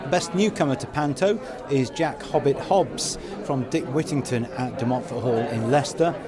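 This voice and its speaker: A man's voice: mid-range voice, normal speed, over a noisy crowd. A man hosting a podcast and interviewing a guest on location at an awards event. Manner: upbeat, chatty presenter. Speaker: podcast host.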